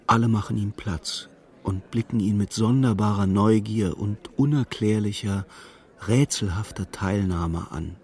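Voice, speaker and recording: An actor narrating a dark literary radio play as a solemn, measured storyteller. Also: male voice, on the low side.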